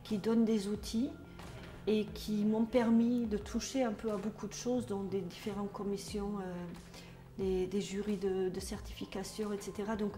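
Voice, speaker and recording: A middle-aged woman with a German accent talks in a hesitant, searching way, full of pauses and restarts. Her voice is soft and mellow. The room is echoey, with faint music underneath. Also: mid-range voice, flat delivery. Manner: hesitant, many fillers and restarts. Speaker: trainer at a social work school. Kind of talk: testimonial interview.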